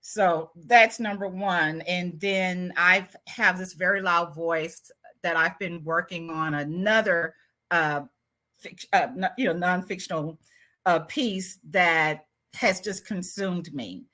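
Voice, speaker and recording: A woman's voice: fairly low pitch, takes it slowly, sharp tone. A woman writer answering interview questions, reflective and a bit self-doubting, with frequent fillers and light laughs. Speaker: award-winning writer, guest.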